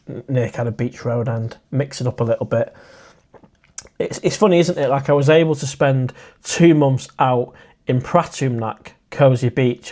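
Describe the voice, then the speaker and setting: A man's voice: mid-range voice, darkish tone. A man, hosting a casual live stream and chatting in a friendly way as he reads out viewer comments. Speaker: livestream host.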